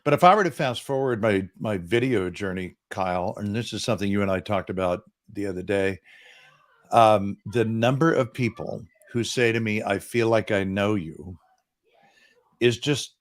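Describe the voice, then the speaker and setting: Male, 60s, lowish voice, earnest self-deprecating coach, close boom mic. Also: normal speed. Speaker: business coach guest. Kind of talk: casual interview chat. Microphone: boom microphone.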